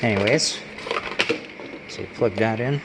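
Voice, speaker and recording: Male reviewer, lowish gravelly voice, halting off-the-cuff product walkthrough, noticeable background noise. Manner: unscripted, halting, pausing while handling items. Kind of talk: casual hands-on gadget demonstration. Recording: steady background hum and noise.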